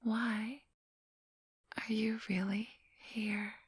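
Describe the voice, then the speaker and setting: Woman acting out a slow, whispering, menacing vampire woman. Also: fairly high pitch, little pitch movement, no room sound, clean studio sound, full character voice. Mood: mocking, coaxing. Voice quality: breathy whisper. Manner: slow, condescending, coaxing, commanding. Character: menacing female vampire, coolly hypnotic and controlling. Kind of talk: ASMR roleplay, whispered dramatic acting. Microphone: close, dry microphone.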